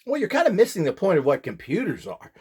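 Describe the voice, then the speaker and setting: A man's voice: sits high, wildly swooping pitch, quick pace, a little mellow, husky. A man giving an informal, unscripted demonstration as an instructor, opinionated and dismissive in his way of talking.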